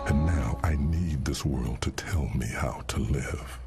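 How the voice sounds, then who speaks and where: An actor playing a weary, guilt-haunted alien commander, a veteran of holy war, narrating in a solemn, brooding, deliberate way with a gravelly voice. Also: male voice, extremely low pitch. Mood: haunted, somber. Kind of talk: solemn first-person dramatic narration. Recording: loud music behind the voice. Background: loud cinematic music.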